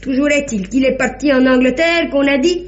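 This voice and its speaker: Actress as talkative village woman, very high voice, folksy and hospitable, old radio play, muffled roomy sound. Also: a little fast, close to an everyday voice. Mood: gossipy, sorrowful. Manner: rustic, folksy, gossipy speech. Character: chatty, hospitable peasant woman of the Normandy countryside. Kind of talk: old-fashioned French radio drama acting.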